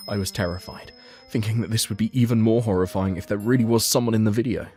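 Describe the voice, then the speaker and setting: A man's voice: fairly low pitch, fairly even intonation, fast, mellow, soft background music, close to an everyday voice. A man playing an earnest, anxious young office worker who narrates his own story in a manga dub. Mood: uneasy, bewildered.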